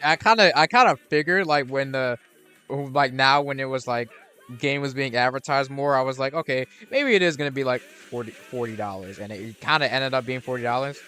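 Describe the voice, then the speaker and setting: A young man chatting over his gameplay in a bright, clear voice, rambling loosely and often restarting his thoughts. Game music plays faintly underneath him. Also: medium pitch, brisk. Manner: rambling, with frequent restarts and fillers. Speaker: game streamer. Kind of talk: casual gameplay commentary.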